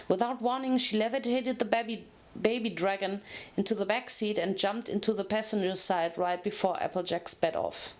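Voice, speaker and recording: A woman's voice: mid-range voice, steady tone, normal speed. A woman giving a dramatic reading of a scripted story, occasionally stumbling over a word. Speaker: fan fiction reader.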